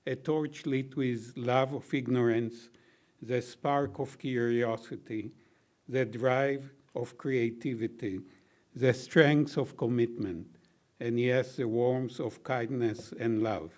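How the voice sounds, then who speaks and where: An older man with a baritone voice giving heartfelt closing thank-yous at a university reception, measured and gently humorous. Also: fairly even intonation. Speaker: honoree giving closing remarks. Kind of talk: heartfelt formal thank-you speech.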